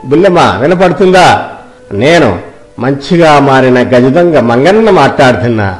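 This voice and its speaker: A man's voice: a little high, animated, moderate pace, lightly acted. Man playing a boisterous reformed bandit sidekick. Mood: urgent. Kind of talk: dramatic children's storybook acting.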